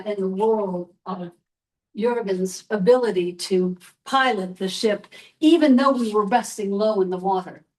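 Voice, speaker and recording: A woman storyteller playing a wistful, sea-loving 19th-century Norwegian captain's wife, telling her tale in the first person with a deliberate, dramatic delivery. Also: medium pitch, distant mic, lightly acted, rough recording.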